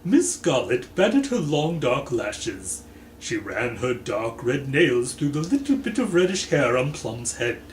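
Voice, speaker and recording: A man giving a dramatic, deliberate reading of a story as its theatrical narrator. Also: medium pitch, sing-song. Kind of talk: dramatic audiobook-style narration. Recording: echoey room, faint background noise.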